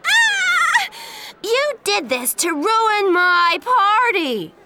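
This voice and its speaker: Lady putting on a shrill spoiled teen brat, screechy high. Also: huge pitch swings, drawn-out words, heavy background noise, full character voice. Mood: outraged. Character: spoiled teenage girl, shrill and tantrum-prone.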